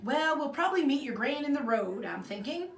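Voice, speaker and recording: A woman's voice: high-pitched, big pitch swings, a little fast, creaky, pitched above the performer's own voice, full character voice. Woman doing a hearty, over-friendly neighbor man, roomy sound. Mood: friendly, awkward. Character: booming, super friendly, nervous neighbor man, a father. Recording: roomy echo, distant mic.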